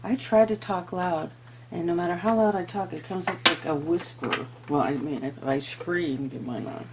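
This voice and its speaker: A woman's voice: lowish voice, normal speed, very noisy. A woman hosting a tarot reading, earnestly addressing viewers, moving between reading aloud and informal asides. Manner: earnest, talks to viewers and to spirit. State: voice giving out, throat-clearing. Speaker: tarot reader and host.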